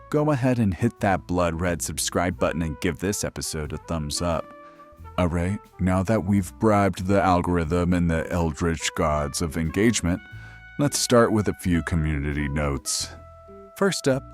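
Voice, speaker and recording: An actor playing a wry, deadpan, sardonic host of a late-night horror radio show. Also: male voice, deep voice. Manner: deadpan, sarcastic.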